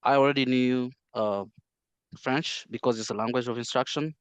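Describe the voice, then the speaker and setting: A man from the Democratic Republic of the Congo whose first language is Swahili, speaking English in an interview, measured and full of "uh" fillers and restarts. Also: mid-range voice, fairly even intonation, smooth, no room sound. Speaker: interview guest, healthcare interpreter. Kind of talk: casual interview, recounting his life.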